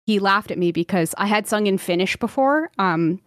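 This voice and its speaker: A woman's voice: mid-range voice, lively intonation, moderate pace, no echo, studio quality. A woman who sings opera, chatting in an interview and filling her talk with "like" and "um". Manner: chatty, lots of "like" and "um", laughs easily. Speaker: opera singer guest. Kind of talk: casual interview chat.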